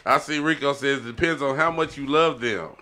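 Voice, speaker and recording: A man's voice: mid-range voice, normal speed, pushed and bright. A man co-hosting a podcast, chatting casually and colloquially with frequent conversational tags. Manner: colloquial, repeats "you know what I mean". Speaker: podcast co-host. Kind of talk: casual chat.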